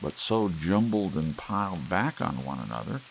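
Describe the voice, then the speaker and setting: A man narrating an audiobook in a slow, soft, hushed ASMR reading over gentle rain. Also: low voice, lively intonation, gravelly, a little hiss or hum, rough recording.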